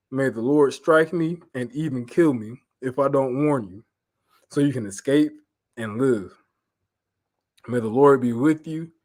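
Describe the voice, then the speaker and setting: American man preaching in casual, slangy style. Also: medium pitch. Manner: informal, animated retelling, repeats phrases for emphasis. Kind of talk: Bible lesson mixing reading aloud with casual slangy paraphrase.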